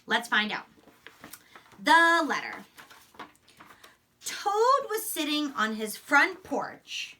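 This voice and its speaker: A woman teacher giving a phonics lesson and read-aloud to young children, encouraging, enunciating deliberately and repeating words, picked up by a distant microphone. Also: sits high, big pitch swings, sharp tone. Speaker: elementary school teacher.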